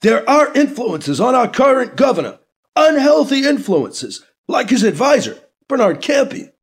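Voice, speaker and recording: A man voicing a furious male politician ranting and shouting accusations on a TV show. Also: extremely high pitch, sing-song, measured pace, slightly rough, voice pushed up, full character voice.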